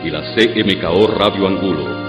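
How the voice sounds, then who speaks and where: A man's voice: deep voice, normal speed, natural voice. A man with a Cuban accent playing a solemn title announcer for a radio drama. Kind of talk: scripted radio credits narration. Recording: echoey hall playback, loud music.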